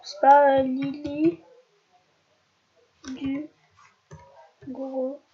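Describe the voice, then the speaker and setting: A young woman from France with a head cold walks through a screen-recorded tutorial. Her voice sits high and she stumbles, pausing on fillers and restarting her sentences. The home mic sounds dull and lo-fi. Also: flat delivery. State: stuffy with a cold. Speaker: YouTuber.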